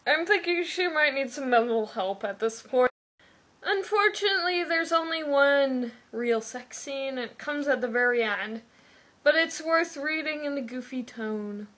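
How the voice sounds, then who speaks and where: A woman's voice: high voice, lively intonation, measured pace, fairly bright tone. A young woman in her twenties giving a sarcastic, pedantic comic review of a book.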